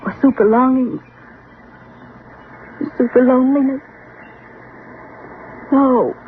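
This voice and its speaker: A woman's voice: sits high, steady tone, slow. An actress playing a bitter, guarded, lonely woman with a secret in an old radio drama, intense and dramatic in delivery. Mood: bitter, impassioned. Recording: muffled, noisy vintage radio recording.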